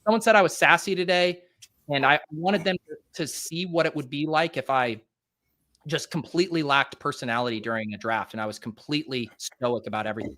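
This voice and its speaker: Bright, nasal man streaming a draft. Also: on the high side, steady tone. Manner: rapid, chatty thinking aloud with many fillers. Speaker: fantasy football draft streamer and host. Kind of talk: casual live-stream chat while drafting.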